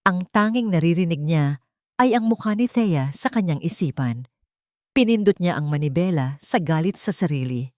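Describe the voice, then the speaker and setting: A woman narrating a scripted dramatic story in a suspenseful way, pausing between short phrases. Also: fairly low pitch, lively intonation, a little mellow, dry recording, clean studio sound.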